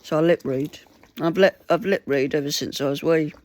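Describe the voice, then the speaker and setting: A woman whose first language is Mandarin, talking earnestly to camera in a halting, pleading way. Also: low voice, darkish tone. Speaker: vlogger. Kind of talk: casual talk to camera.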